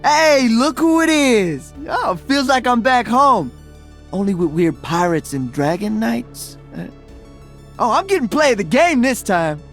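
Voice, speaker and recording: Upbeat young DJ hero, video game voice lines, very lively pitch, playful, casual, music behind. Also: male voice, a little slow, heavily acted. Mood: excited, friendly. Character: upbeat young male Brazilian celebrity DJ and freedom fighter.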